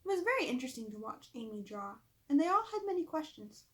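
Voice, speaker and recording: A woman's voice: high-pitched, big pitch swings, fast talker, fairly bright tone. A woman reading a children's story aloud expressively, with chatty asides to her listeners. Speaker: author reading own book aloud. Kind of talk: storybook read-aloud narration.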